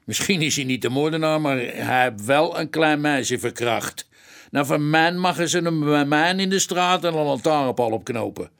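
An actor playing a blunt, eager police sergeant in a Dutch radio play, colloquial and stumbling over long words. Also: male voice, a little high, expressive, normal speed, vocal fry, a bit bright. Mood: blunt, indignant. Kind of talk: Dutch radio drama acting.

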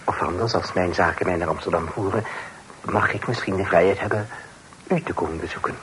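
An actor playing a courteous, eager young gentleman in a period radio drama, with florid, formal politeness. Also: sits low, a little fast. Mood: gallant, eager. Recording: faintly noisy old radio play recording.

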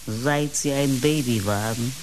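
A woman's voice: extremely low pitch, measured pace, a little mellow, soft background music. An actress in a German radio play playing an older, pious housekeeper, blunt, motherly and needling in a dry, folksy way. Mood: teasing, chiding. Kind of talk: German radio drama acting.

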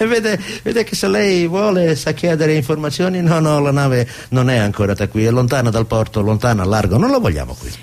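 An actor playing a sly, evasive official in a radio drama, condescending and teasing, with wry tag questions. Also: male voice, fairly high pitch, very animated, fairly quick, hardly any pauses, darkish tone.

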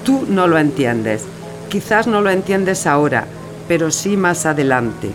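A resolute woman in a romantic radio play , acted by a woman, composed as she says farewell to her lover. Music plays clearly underneath. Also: deep voice, very animated, unhurried. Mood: resolute, wistful. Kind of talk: radio theatre acting. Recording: music and engine noise behind.

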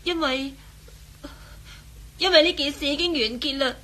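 A woman's voice: high-pitched, fairly even intonation, moderate pace, fairly bright tone. A woman playing a frightened, secretive young lady in a radio drama, pleading and defensive in Hong Kong Cantonese. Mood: evasive, pleading.

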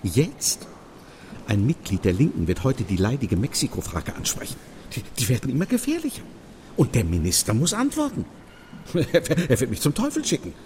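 An actor playing a wary, reluctant politician in a German radio drama, speaking with hesitation. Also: male voice, medium pitch, huge pitch swings, normal speed, darkish tone, slight background noise. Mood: alarmed, reluctant. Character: wary, self-protective male politician, reluctant go-between.

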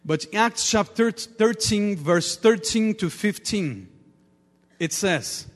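A man with a Portuguese accent, a native Portuguese speaker, preaching a sermon earnestly in halting English with repeated words. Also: high voice, expressive. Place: large reverberant church room. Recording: reverberant hall with long echo.

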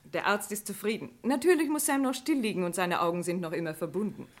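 A woman's voice: mid-range voice, fast, natural voice. An actress playing a loyal, worried woman who guards a patient in a vintage radio crime drama, polite and anxious. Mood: polite concern.

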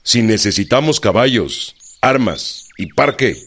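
A man's voice: medium pitch, expressive, unhurried, a bit bright. A man acting in a radio drama as a blunt, defiant, folksy Mexican revolutionary general, plain-spoken and given to grunts. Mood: amused, defensive.

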